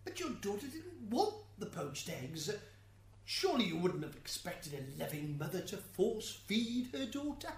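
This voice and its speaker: A woman's voice: medium pitch, very animated, normal speed, a little hiss or hum. A woman playing a courteous, slightly flustered and wry defence lawyer in a radio drama. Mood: courteous, probing. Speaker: defence advocate.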